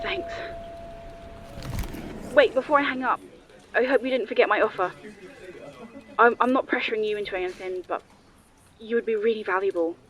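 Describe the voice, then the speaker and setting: A secretive informant acted by a woman talks nervously and earnestly. Her voice has a middling pitch in this audio drama, over faint background noise. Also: lightly acted, rough recording. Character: anxious, secretive female informant in hiding. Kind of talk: audio roleplay acting, one-sided phone call.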